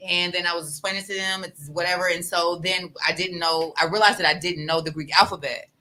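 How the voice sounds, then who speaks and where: Casting: woman guest, lowish bright voice, chatty storyteller. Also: rough recording. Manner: quick, animated storytelling. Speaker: guest, former teacher. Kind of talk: casual storytelling.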